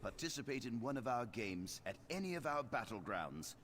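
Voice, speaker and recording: Theatrical, animated arena battlemaster. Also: male voice, medium pitch, normal speed, slight background noise. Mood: hearty challenge. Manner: theatrical, grandiose. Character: hearty male battlemaster relishing bloody arena games. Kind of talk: video game character dialogue.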